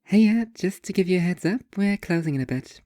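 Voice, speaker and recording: A woman's voice: fairly low pitch, expressive, fairly quick, dark tone, dry recording, clean studio sound, close to an everyday voice. A woman playing a blunt young waitress in an audio story, casual, teasing and sarcastic.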